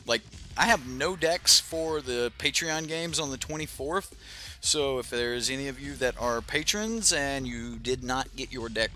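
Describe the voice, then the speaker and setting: A man's voice: mid-range voice, sharp tone, a little hiss or hum. A young man casually commentating his own card game on a live stream, thinking aloud with lots of fillers and restarts.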